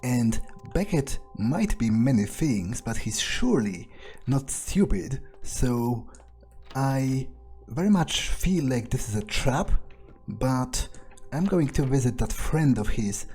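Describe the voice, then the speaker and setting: Man playing a mellow-voiced, cold vampire schemer. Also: mid-range voice, animated, takes it slowly, slightly rough, soft background music. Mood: cold, scheming. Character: vengeful, authority-obsessed male vampire, cold and menacing. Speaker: gameplay roleplayer. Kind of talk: in-character improvised game monologue.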